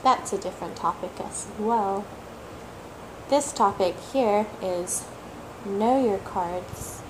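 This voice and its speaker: A woman for whom English is a third language, talking slowly and reflectively as a tarot reader, repeating words for emphasis and sometimes searching for them. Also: mid-range voice, noisy background. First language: not English; English is her third language. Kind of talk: slow reflective monologue.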